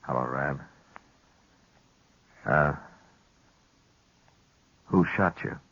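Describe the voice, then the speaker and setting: An actor in a 1950s radio western playing a calm, weary marshal with measured, laconic speech. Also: male voice, very deep voice, husky, dry recording, heavily acted. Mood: serious. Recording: dull old radio recording.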